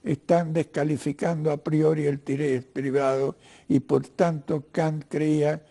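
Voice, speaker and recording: An older man with a Cuban-Argentine Spanish accent, speaking haltingly and professorially as a guest in a political interview, often repeating words as he searches for the next. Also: a little high, little pitch movement, vocal fry, dark tone. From Cuba. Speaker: interview guest, writer and lawyer. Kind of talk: political discussion in a broadcast interview.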